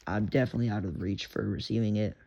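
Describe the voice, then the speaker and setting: Gravelly young Texan man rambling. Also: fairly low pitch, fairly even intonation, fast talker, a little mellow. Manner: rambling, swears, frequent fillers and restarts. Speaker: radio hobbyist. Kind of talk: casual rambling monologue. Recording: lo-fi with background hiss.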